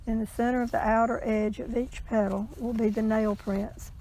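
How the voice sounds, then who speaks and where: A woman reading a legend aloud in a measured storytelling style, with the odd stumble. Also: fairly high pitch, little pitch movement, dark tone, a little hiss or hum, rough recording.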